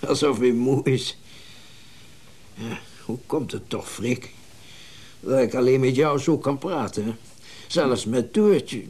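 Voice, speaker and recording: An actor playing a lonely, possessive company boss in a radio play, musing and intimate. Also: male voice, mid-range voice, slow, long pauses, darkish tone, husky. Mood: musing, awed. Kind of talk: naturalistic Dutch radio drama acting.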